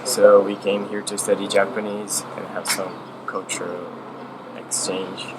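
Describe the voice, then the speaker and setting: A man's voice: fairly low pitch, level tone, unhurried. A young man from Brazil, a Portuguese speaker, answering interview questions eagerly with many fillers. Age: young adult. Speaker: exchange student. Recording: clear background noise.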